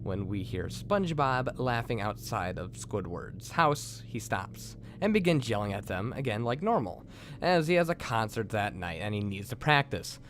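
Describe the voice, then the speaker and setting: A man's voice: lowish voice, big pitch swings, normal speed, fairly bright tone, slight background noise. A man hosting and narrating a scripted creepypasta horror story. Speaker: host and narrator.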